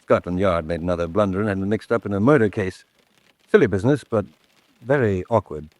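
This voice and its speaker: Male actor, courteous stranded motorist, self-deprecating, low pitch, dry close sound, old-time radio drama. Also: fairly quick, darkish tone, dry recording, natural voice. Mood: apologetic, smooth.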